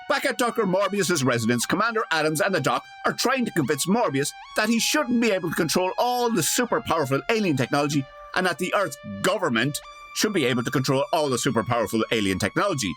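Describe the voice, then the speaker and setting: A man's voice: fairly high pitch, very animated, a little fast, fairly bright tone, soft background music. A man playing a wry, colloquial narrator delivering scripted comic narration for a radio parody.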